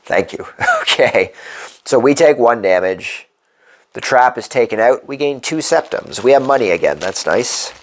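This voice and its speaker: A man's voice: mid-range voice, animated, moderate pace, slight background noise. A congested man hosting a solo board game playthrough, thinking aloud as he works through the rules and dice rolls. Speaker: board game channel host.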